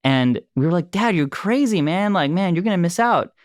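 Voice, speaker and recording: A smooth-voiced man telling an animated story. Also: sits high, normal speed, no echo, clean studio sound. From Los Angeles. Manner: animated storyteller, laughs easily. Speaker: filmmaker and producer. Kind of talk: casual conversation, storytelling.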